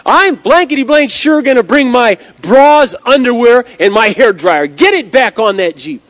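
A man voicing a feisty, forceful, indignant young woman in an emphatic, dramatic retelling of a film. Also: a little high, sing-song, brisk, pitched above the performer's own voice. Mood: indignant, loud. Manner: pushy, emphatic, dramatic delivery. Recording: dull lo-fi speech recording.

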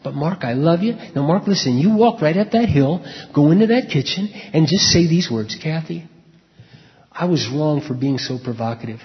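A man's voice: on the high side, expressive, normal speed. God, firm loving fatherly voice, a man putting it on, sermon retelling, echoey hall, dull sound. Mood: tender, firm.

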